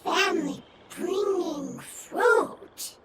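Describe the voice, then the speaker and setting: A woman voicing a small, shy forest creature for a video game, speaking in halting, broken phrases. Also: extremely high pitch, expressive, heavy background noise, heavily acted. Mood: worried, hesitant. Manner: halting, fragmentary few-word phrases.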